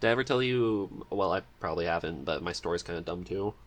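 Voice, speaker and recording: Low-voiced man hosting a casual podcast. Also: fast talker. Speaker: podcast host interviewing a guest.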